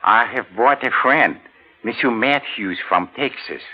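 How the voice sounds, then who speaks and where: An actor playing a slippery, obsequious go-between in a vintage radio drama. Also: male voice, medium pitch, a little slow, slightly rough. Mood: ingratiating. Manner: obsequious, wheedling. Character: slippery, ingratiating foreign male go-between hustling commission. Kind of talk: 1950s radio drama acting. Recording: dull old radio broadcast.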